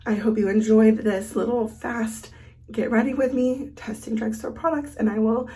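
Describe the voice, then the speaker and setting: A woman's voice: on the high side, steady tone, moderate pace, mellow. A woman vlogger who is sick and losing her voice, chatting casually while she does her makeup. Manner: chatty, thinks aloud while applying makeup. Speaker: beauty vlogger. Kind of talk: casual get-ready-with-me makeup chat.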